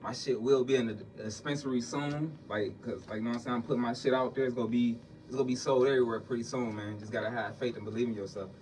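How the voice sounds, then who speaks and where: A man's voice: mid-range voice, fairly even intonation, fast, hardly any pauses, darkish tone, recorded from a distance, a little hiss or hum. A man from Chicago and Detroit, answering interview questions in a laid-back, slangy way and repeating himself. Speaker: rapper and podcast guest. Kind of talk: casual interview.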